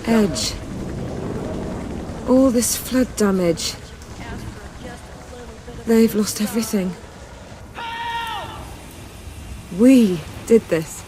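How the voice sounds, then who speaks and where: A woman's voice: mid-range voice, very slow, pauses often, noisy background. An actress playing a wry, defiant young female freedom fighter in a video game, with a questioning manner. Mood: troubled.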